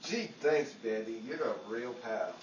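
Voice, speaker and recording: A man's voice: medium pitch, unhurried, echoey room, lo-fi sound, close to an everyday voice. Distant noisy recording, man playing hapless wisecracking showbiz hopeful, pleading banter, old-time radio comedy. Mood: cheeky, wisecracking.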